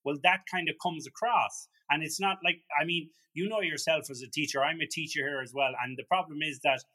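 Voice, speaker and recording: Chatty man with a bright voice. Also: on the high side, a little fast. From Ireland. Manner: chatty, with many restarts and "you know". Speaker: podcast host. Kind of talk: casual conversation.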